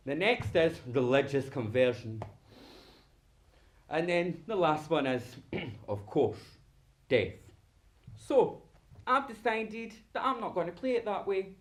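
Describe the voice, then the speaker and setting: Man acting a high-voiced, campy, sardonic monologist. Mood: defiant, confiding. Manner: dramatic, sardonic, campy, given to mimicking dialogue. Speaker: actor performing a monologue. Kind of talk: theatrical solo stage monologue.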